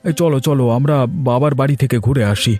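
A man's voice: medium pitch, fairly even intonation, fast talker, mellow, soft background music, natural voice. A man voicing a doting, cheerful village husband in a cartoon family drama. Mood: tender, encouraging.

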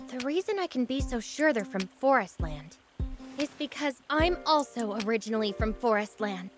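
A woman's voice: fairly high pitch, big pitch swings, normal speed, music in the background. An actress voicing a determined young female resistance fighter in a video game, earnest, direct and emphatic. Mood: frank. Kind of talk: English video game voice acting.

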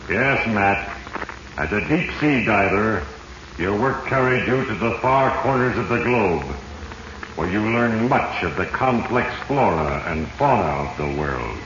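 An actor playing sinister, all-knowing Fate, narrating solemnly and portentously in a 1940s radio drama. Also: male voice, fairly low pitch. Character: sinister, all-knowing Fate reading his diary of mortals. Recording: echoey, muffled, noisy old radio.

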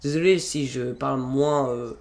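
A man's voice: medium pitch, steady tone, normal speed, creaky. A young man with a cold, narrating a casual screen-recorded video-editing tutorial in a rambling way, with many fillers and restarts. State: has a cold. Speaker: tutorial youtuber. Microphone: computer microphone.

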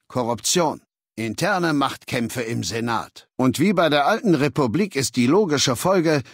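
A learned, ruthless male dictator in a video game. He lectures with articulate condescension at a middling pitch. The recording is dry, with no sense of a room. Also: no room sound. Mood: coolly instructive. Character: cold, learned warlord dictator of a slaver legion.

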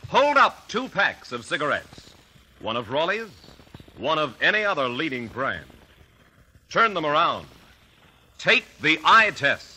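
A man's voice: on the high side, sing-song, a little slow, long pauses, bright, forceful tone, breathy edge, low-quality recording. A man giving emphatic, instructing lines in a 1950s radio commercial. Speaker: commercial announcer. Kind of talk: 1950s radio advertising pitch. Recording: old 1950 radio broadcast.

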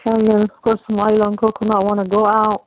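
A woman's voice: mid-range voice, monotone, a little fast. Phone-quality audio, young adult woman, casual chat, rambling and repetitive, slight background noise. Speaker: caller talking with partner. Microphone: telephone call. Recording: tinny phone line with faint noise.

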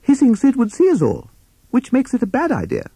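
Timid little toad for a kids story, high pitched, flustered, stammery, very dry recording. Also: male voice, wildly swooping pitch, brisk, creaky, dark tone, breathy edge, dry recording, exaggerated voice. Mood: anxious, pleading. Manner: stammering, hesitant, anxious. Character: timid cowardly male toad, nervous and flustered. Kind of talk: children's animal storybook character.

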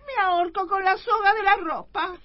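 A woman's voice: extremely high pitch, normal speed, dry recording, muffled recording. A woman playing an outraged, melodramatic tenement wife in a comic radio play, exclamatory and theatrical, with an Argentine accent.

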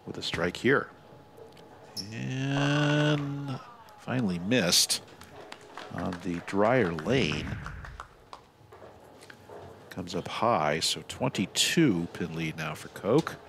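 A man doing live bowling commentary, giving measured analysis with excited calls on shots. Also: sits low, vocal fry, a little hiss or hum. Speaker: sports commentator.

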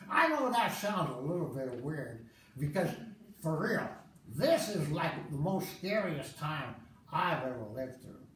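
A woman's voice: low-pitched, very animated, a little hiss or hum, voice pushed down. Woman doing a peace-preaching Rasta man. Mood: laid-back preaching. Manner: loud, hearty, preaching, slow and drawn out. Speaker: festival performer. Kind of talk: comic stage monologue, visionary speech. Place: outdoor festival stage. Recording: echoey stage sound from a distant mic.

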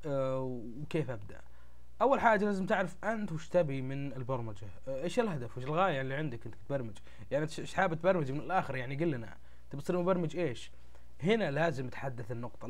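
A young man with a Gulf Arabic accent and a congested, tired throat, giving a casual explainer as an informal tech channel host. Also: on the high side. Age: young adult. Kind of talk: casual explainer talk to camera. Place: small indoor room at a desk, webcam recording.

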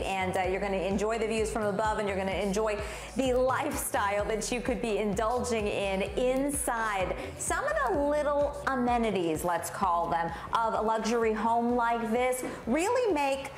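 A woman reporter presenting a live morning lifestyle segment in a chatty, animated way from inside a large room. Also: a little high, moderate pace, music in the background. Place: large hard-surfaced laundry room indoors. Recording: roomy echo with background noise.

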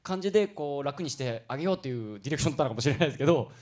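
A man chatting politely as a guest performer on a radio talk show, telling stories excitably. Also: mid-range voice, rapid-fire. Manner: excitable, animated storytelling with acted-out quotes. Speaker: guest performer new to voice acting.

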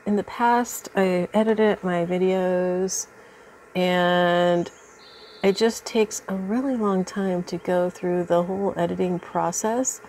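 A woman's voice: lowish voice, fairly even intonation, takes it slowly, a little mellow, slight background noise. Congested woman chatting over beading. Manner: chatty, thinks aloud with many ums. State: congested from allergies. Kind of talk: casual crafting tutorial. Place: indoors at a craft table.

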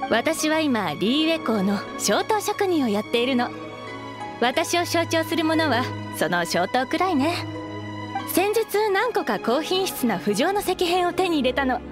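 A woman's voice: high-pitched, very animated, unhurried, music in the background. A woman playing a composed, courteous young knife maker in an anime game, speaking in polite feminine phrases. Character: composed, courteous young craftswoman who makes knives. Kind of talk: Japanese anime game voice acting.

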